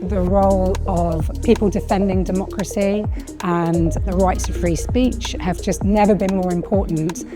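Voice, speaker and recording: Lower-pitched woman with music behind. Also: steady tone, a little slow. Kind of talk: interview statement.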